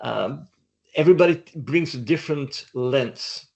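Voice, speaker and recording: A man's voice: mid-range voice, a little slow, vocal fry, a little mellow, dull audio. A middle-aged man from Belgium, a guest in an interview, speaking in a reflective, thoughtful way. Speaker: interview guest, doctoral student. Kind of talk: casual interview chat.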